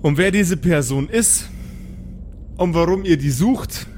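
A man narrating an improvised pen and paper audio drama as game master, deliberately and with dramatic pauses. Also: a little high, a little mellow, a little hiss or hum.

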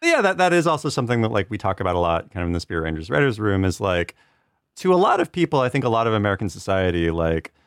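Man guest, comedy writer type, low and lively, loose rambling delivery. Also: fast, clean tone, no echo, clean studio sound. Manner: rambling, self-deprecating, laughs often. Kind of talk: casual podcast chat.

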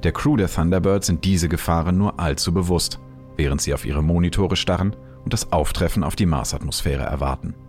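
A man giving calm, explanatory scripted documentary narration. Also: low-pitched, fairly quick, soft background music.

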